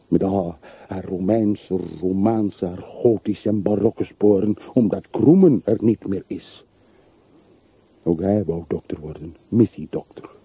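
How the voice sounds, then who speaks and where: A man's voice: low voice, slightly rough, dry recording, exaggerated voice. An actor in a radio drama playing a brooding, bitter man from a rich family who mourns his dead in melancholy monologue. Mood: bitter, mournful. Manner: melancholy, reflective, literary monologue. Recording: muffled, narrow-band old radio play recording.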